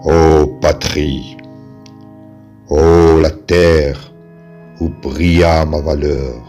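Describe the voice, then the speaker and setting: A man solemnly reciting poetry in a measured, declamatory way with dramatic pauses. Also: extremely low pitch, level tone, mellow. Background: music.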